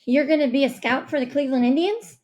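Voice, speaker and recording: A woman's voice: high voice, brisk, pitched above the performer's own voice. A woman narrator voicing an eager, curious young boy who blurts things out in an audiobook. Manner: excited, blurting. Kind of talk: audiobook character voice.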